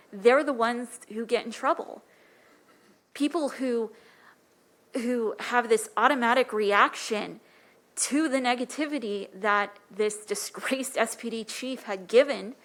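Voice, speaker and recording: A woman with a light, soft-spoken voice pitched a little high speaks earnestly at a council meeting. She talks into a podium microphone in a council chamber. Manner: earnest, impassioned. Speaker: public commenter. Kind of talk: public comment at council meeting.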